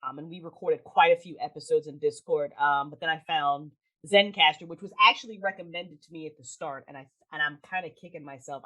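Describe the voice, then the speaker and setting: Animated woman chatting casually in an interview. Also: low voice, poor audio. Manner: animated, emphatic, informal. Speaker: podcast guest, audio drama creator.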